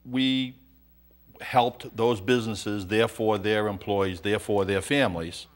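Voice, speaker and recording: A middle-aged male accountant, a guest on an interview show, explaining things in a brisk, matter-of-fact way. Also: lowish voice, steady tone.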